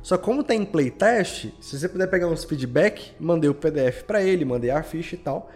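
Man, Minas Gerais Brazilian accent, fairly high voice, casual slangy storytelling, music behind. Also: expressive, fairly quick, darkish tone. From Belo Horizonte, Brazil. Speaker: guest, audio drama podcast creator. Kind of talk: casual anecdotal chat. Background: background music.

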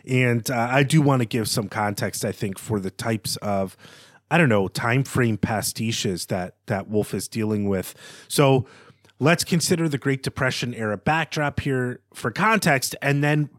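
A man's voice: medium pitch, measured pace, no room sound, studio quality. A man co-hosting a literary discussion podcast, speaking off the cuff in a thoughtful, hedging, analytical way. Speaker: podcast co-host.

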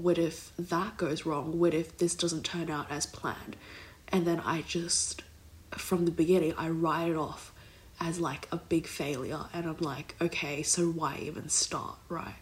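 A young woman talks through her own podcast in a loose, meandering way, with plenty of "like" and "kind of". Her voice is nasal and congested from a blocked nose, and it sits slightly low for a woman. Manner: rambling, heavy use of "like" and "kind of". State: recovering from illness, congested. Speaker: podcast host. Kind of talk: casual solo podcast chat.

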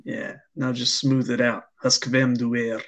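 A young man co-hosting a laid-back podcast, speaking slowly at an ordinary middle pitch. He hesitates often, restarting sentences and filling gaps with "um" and "like". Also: level tone, heavy background noise. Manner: halting, many fillers and restarts. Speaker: podcast co-host. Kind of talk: casual chat.

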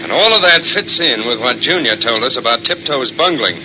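An actor playing a sensible, methodical American police detective in an old radio drama, speaking in a crisp, reasoned way. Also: male voice, medium pitch, expressive, normal speed, noisy background, lightly acted. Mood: serious. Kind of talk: 1940s radio drama acting.

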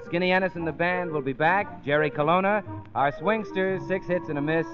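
A man's voice: on the high side, a little fast. An American man announcing and bantering as a sidekick on a 1930s radio show. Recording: muffled old radio broadcast recording. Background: music.

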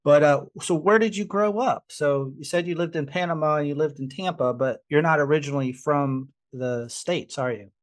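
A man's voice: fairly high pitch, moderate pace, hardly any pauses. A man hosting a casual interview, asking loosely phrased questions. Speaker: interview host.

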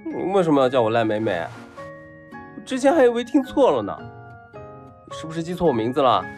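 A man's voice: fairly high pitch, huge pitch swings, fairly quick, darkish tone, faint music behind. A man acting a drugged young man in an audio drama, whiny, weak and breathlessly pleading with the one he has a crush on. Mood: weak, puzzled. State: weak and feverish from drugs.

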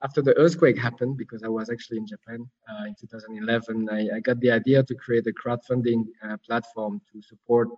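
A man's voice: mid-range voice, flat delivery, fast, few pauses, a little mellow, husky. A man in his thirties from Switzerland, a podcast guest, telling his life story in a rambling, self-correcting way over a remote call. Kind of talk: conversational interview over a call. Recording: distant, dull remote line.

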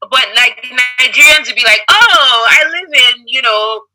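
A woman's voice: high-pitched, expressive, a little slow, sharp tone, low-quality recording. A woman who is a guest on a video call, chatting casually, friendly and direct, explaining points with emphasis. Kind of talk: casual chat.

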